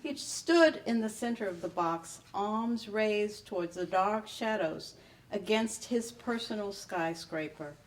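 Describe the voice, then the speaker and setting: A woman reading a memoir excerpt to a live audience in measured short phrases. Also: mid-range voice, distant mic, poor audio. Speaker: author reading a book excerpt. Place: live reading at a public library event.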